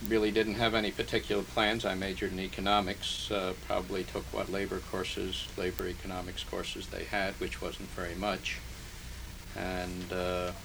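An older man born in Hungary and raised in New Jersey, recalling his early life in an oral history interview, slowly and haltingly, with many pauses and fillers. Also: low voice, monotone, creaky. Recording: noisy archival tape recording.

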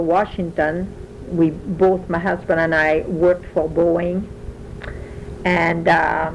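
A woman's voice: fairly low pitch, darkish tone, highs cut off, a little hiss or hum. An older woman from France with a French accent, telling her life story in a rambling, conversational way in an interview. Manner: rambling, conversational, many restarts. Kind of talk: oral history interview.

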